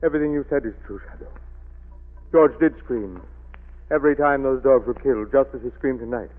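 An actor playing a middle-aged, tormented wealthy father with a dark secret, anguished and melodramatic in 1940s radio style. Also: medium pitch, a little fast, close to an everyday voice. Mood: anguished confession. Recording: thin phone-like vintage radio recording.

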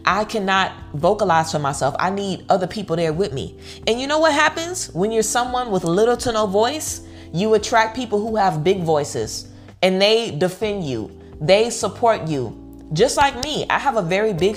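An American woman giving an informal motivational pep talk, speaking bluntly and emphatically, repeating phrases to drive them home. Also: medium pitch. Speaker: online community host giving self-worth advice.

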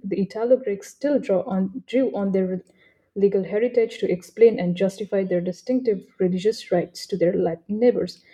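A woman hosts a podcast with a deeply dark, mellow tone at a middle pitch. She reads an introduction steadily, with small slips and restarts along the way. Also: rough recording. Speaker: podcast host. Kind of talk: reading a scripted introduction.

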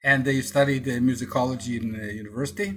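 Bright, joking male interviewer. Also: medium pitch, steady tone, fast talker.

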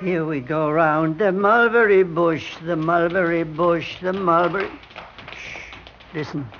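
An actor playing a stubborn, eccentric old elevator operator who stammers and mutters in singsong, in a classic radio drama. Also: male voice, a little high, takes it slowly, music in the background. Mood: playful, gleeful. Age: older. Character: crazy old elevator operator, stubborn, fond of his lift. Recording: dull, noisy old radio recording.

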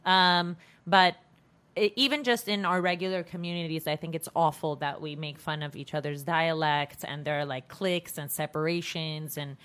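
Candid Armenian woman singer, lowish voice. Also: fairly even intonation, normal speed, a bit bright, smooth. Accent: Iranian Armenian. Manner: candid, talks at length, laughs easily. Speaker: singer guest. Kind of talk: casual podcast chat.

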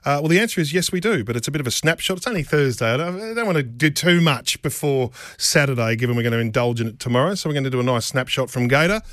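Brisk, jokey radio host. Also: male voice, medium pitch, animated, fairly bright tone, no echo, clean studio sound. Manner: brisk, jokey, mate-ish banter. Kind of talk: radio sports chat. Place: radio studio.

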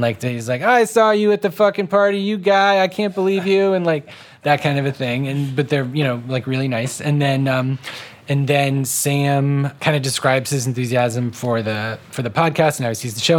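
Casual man, mid-pitched, telling an anecdote. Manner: acts out other people's remarks. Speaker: TV writer and podcast creator being interviewed.

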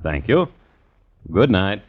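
An actor playing a dry, macabre gentleman crime historian who narrates a 1950s radio show in a scholarly, deadpan way. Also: on the low side. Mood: dryly genial. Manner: measured, wry, deadpan, scholarly. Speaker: narrator and host. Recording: dull vintage radio recording.

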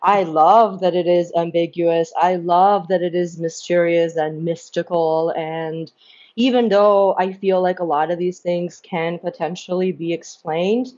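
Lower-voiced woman guest on dull call audio. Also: measured pace. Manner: relaxed, musing, lots of "like". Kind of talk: casual chat.

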